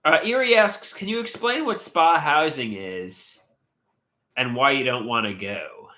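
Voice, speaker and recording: A man's voice: mid-range voice, unhurried, bright, forceful tone. Stuffy-nosed man in an echoey room. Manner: rambling, hesitant, trails off mid-thought. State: stuffy, congested nose. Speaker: livestream host. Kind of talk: casual livestream chat, reading comments aloud.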